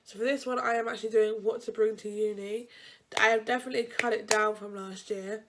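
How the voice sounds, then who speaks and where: A woman's voice: on the high side, fairly even intonation, a little fast, a bit bright. Young woman student vlogger, stuffy nose, loose chatty delivery that wanders and restarts mid-sentence. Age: young adult. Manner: rambling, chatty, frequent self-corrections. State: blocked-up nose. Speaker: university vlogger. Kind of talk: casual advice vlog.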